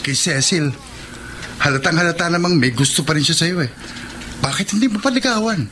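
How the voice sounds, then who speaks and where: A man's voice: on the high side, lively intonation, fairly quick, sharp tone, slight background noise. A man playing a jolly young best friend in a radio drama, teasing in a Filipino accent. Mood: knowing, encouraging. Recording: music under radio drama.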